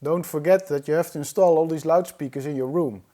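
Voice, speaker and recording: A man giving an explanatory tutorial in a measured, didactic way, as an instructor. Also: on the high side, dark tone. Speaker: audio-video instructor.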